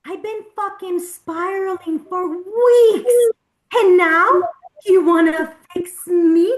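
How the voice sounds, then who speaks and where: A woman acts out an enraged patient with a very high voice. She is combative and sarcastic, slipping between English and as she spirals through a heated drama scene. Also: slow. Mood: furious, distraught. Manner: confrontational, swearing, switches between English and Spanish. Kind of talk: heated dramatic acting at a table read.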